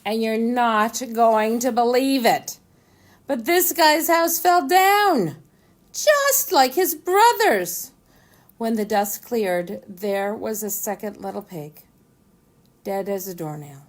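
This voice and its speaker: A woman voicing a storybook wolf, male, chatty and falsely innocent, with dramatic stammering and drawn-out words. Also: on the high side, expressive. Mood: confiding. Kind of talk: animated children's storybook reading.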